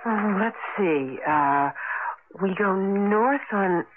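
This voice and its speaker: Fretful wife on noisy old radio. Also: female voice, mid-range voice, expressive, very slow, phone-quality sound. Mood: thoughtful. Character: uneasy, fretful young wife on a road trip. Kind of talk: 1980s radio drama acting.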